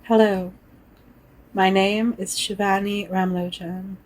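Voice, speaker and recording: A woman from Trinidad and Tobago, giving a calm, measured spoken-word introduction. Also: medium pitch, poor audio.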